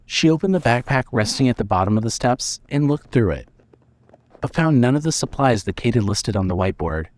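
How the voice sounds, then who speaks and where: A man narrating a scripted dramatic story in a measured reading manner. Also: medium pitch, animated, darkish tone.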